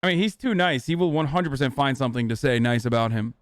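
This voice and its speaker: Streamer: smooth-voiced, profane, sarcastic man in his thirties, dry close mic. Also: mid-range voice, dry recording. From United States. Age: 30s. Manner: profane, sarcastic, quick banter. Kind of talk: casual live-stream reaction.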